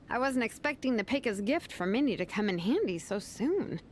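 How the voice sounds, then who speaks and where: A woman's voice: on the high side, expressive, moderate pace, a little hiss or hum, lightly acted. A woman voicing a laid-back, tactful young vice captain and teacher in a video game, casual yet frank. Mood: confident, relieved.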